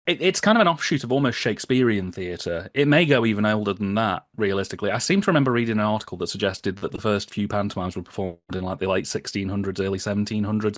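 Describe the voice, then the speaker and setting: A man's voice: fairly low pitch, no echo, clean studio sound. A British man speaking as a podcast guest, explaining things in an enthusiastic, chatty way with colourful colloquial phrasing. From United Kingdom. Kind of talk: enthusiastic explanatory casual chat.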